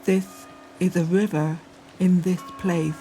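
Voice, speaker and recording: A woman poet speaking reflective lines in short, measured, deliberate fragments for an audio documentary. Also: fairly low pitch, steady tone, dark tone, soft background music, low-quality recording. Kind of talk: poetic spoken reflection in a documentary audio piece.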